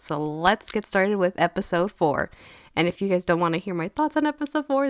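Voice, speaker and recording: Slightly hoarse young woman chatting. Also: lowish voice, no room sound, clean studio sound. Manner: chatty and gushing, lots of "you know". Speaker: anime reviewer. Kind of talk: casual chat.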